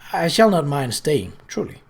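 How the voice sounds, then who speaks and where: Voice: medium pitch, big pitch swings, normal speed, gravelly, husky, exaggerated voice. A courteous, independent young woman from a period storybook, as a reader acts her. She is earnest and self-effacing, with a slightly hasty delivery. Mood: hasty, polite.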